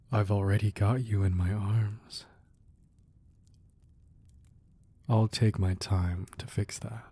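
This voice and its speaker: A man playing a protective, romantic crime boss husband in an intimate ASMR roleplay, soft and hushed, tender with an edge of menace. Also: lowish voice, breathy edge. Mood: teasing, seductive. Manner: slow, deliberate, tender murmuring with pauses.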